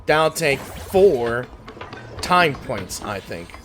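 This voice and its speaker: A man's voice: medium pitch, big pitch swings, extremely slow, a bit bright, slight background noise. A man giving casual gameplay commentary and reading game text aloud as he plays. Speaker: gaming video creator. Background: game music.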